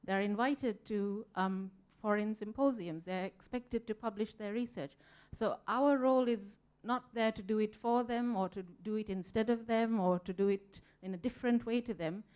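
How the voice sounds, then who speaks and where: A woman from Sri Lanka speaks on a conference panel in a smooth, clear voice at a middle pitch. She is earnest and reflective, and she fills her pauses with ums as she works through each point. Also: a little mellow. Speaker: museum volunteer panelist. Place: conference room.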